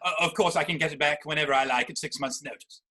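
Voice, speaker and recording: A man playing a polite young Victorian gentleman suitor in a theatrical comedy reading, well-spoken and deferential. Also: fairly high pitch, fast. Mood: earnest, deferential. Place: virtual performance from home in isolation.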